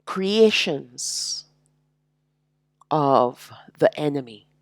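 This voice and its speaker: A woman teaching a slow, reflective sermon, sounding nasal and congested from allergies, with drawn-out, emphatic phrasing. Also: sits low, lively intonation, dry recording, studio quality. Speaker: religious teacher.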